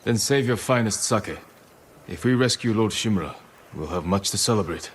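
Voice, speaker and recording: An actor playing a determined samurai lord in a video game, earnest, restrained and commanding. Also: male voice, fairly low pitch, expressive, moderate pace, a little hiss or hum, natural voice. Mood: grateful, composed. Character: solemn, determined samurai lord leading warriors. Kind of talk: video game cutscene acting.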